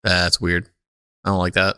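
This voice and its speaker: A man's voice: sits low, monotone, moderate pace, pushed and bright, clear, resonant tone, no echo, clean studio sound. A man co-hosting a podcast with casual, irreverent comedic banter. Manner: irreverent, swears freely. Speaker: podcast co-host.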